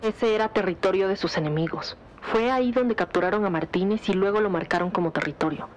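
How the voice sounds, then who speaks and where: A woman's voice: mid-range voice, a little fast, slight background noise, natural voice. A woman playing a serious, analytical detective who briefs a colleague matter-of-factly in an audio drama. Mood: grave, analytical. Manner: matter-of-fact, grave.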